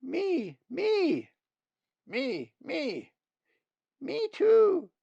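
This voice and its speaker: A man voicing squabbling, eager young raven nestlings in a storybook reading, clamoring and shouting childishly. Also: very high voice, huge pitch swings, drawn-out words, creaky, no room sound, lo-fi sound, pitched above the performer's own voice, heavily acted.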